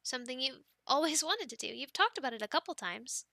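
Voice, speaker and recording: A young woman voicing an affectionate, teasing girlfriend in an ASMR roleplay, speaking softly, giggling and dropping into whispers, close to the mic. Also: high voice, lively intonation, brisk, dry recording, exaggerated voice. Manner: soft and giggly, drifting into whispers.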